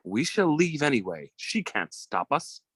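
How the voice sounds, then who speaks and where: A man's voice: mid-range voice, sing-song, measured pace, fairly bright tone, husky, no room sound, exaggerated voice. Man acting swooping, suave scheming suitor. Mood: urgent. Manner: suave, theatrical, formal. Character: smooth-talking, scheming suitor courting a woman for money. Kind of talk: old-time radio melodrama acting.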